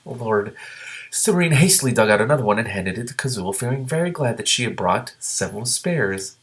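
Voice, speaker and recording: A man reading a book aloud and breaking in with excitable, sometimes profane reactions. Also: medium pitch, fairly quick. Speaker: reader and commentator.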